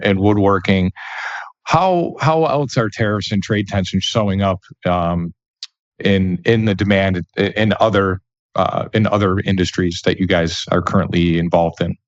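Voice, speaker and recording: A man's voice: fairly low pitch, no room sound. A man hosting a business podcast, asking long questions padded with fillers. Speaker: podcast host. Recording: dry, dull lo-fi sound.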